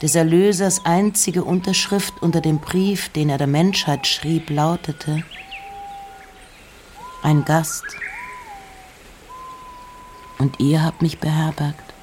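An actress playing an introspective nineteenth-century woman poet in a radio play, reciting in a breathy, hushed, deliberate way with pauses between short phrases. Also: low voice, fairly even intonation, a little hiss or hum. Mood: musing. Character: Emily Dickinson, introspective 19th-century American woman poet. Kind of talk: radio play, poetic recitation of poems and letters.

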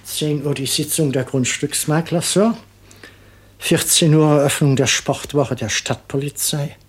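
An actor playing a dutiful secretary in a 1960s radio drama, formal, measured and deferential. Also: male voice, on the high side, breathy edge. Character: dutiful male secretary reading out the boss's schedule.

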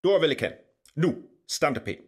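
An actor playing an impatient, excitable Faust in a comic rhymed radio play, brash and theatrical, with a Low German accent. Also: measured pace, slightly rough. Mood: impatient, bossy. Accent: Low German, northern Germany. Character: impatient, bossy Faust, excitable seeker of thrills. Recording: loud music behind the voice.

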